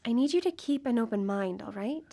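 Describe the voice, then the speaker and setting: Earnest driven woman, radio play, highish voice, intent, halting delivery. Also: animated, mellow. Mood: guarded, intent. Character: earnest, driven woman hunting for her lost friend. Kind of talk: live radio drama acting.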